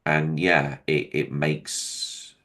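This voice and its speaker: Very deep-voiced man, hesitant and self-deprecating. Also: level tone, very slow, a bit bright. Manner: self-deprecating, hesitant with many ums and restarts. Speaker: comedian and veteran guest. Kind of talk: candid interview chat.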